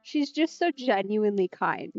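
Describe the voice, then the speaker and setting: A woman's voice: mid-range voice, very animated, moderate pace, dry recording, highs cut off. A woman giving casual let's play commentary as a streamer. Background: game music.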